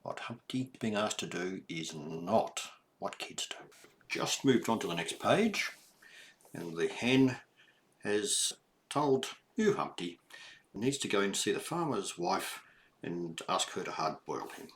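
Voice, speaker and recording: An older man reading a children's story aloud, deliberate and emphatic, with explanatory asides to young listeners. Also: fairly low pitch, very animated, gravelly, fairly bright tone, slight background noise.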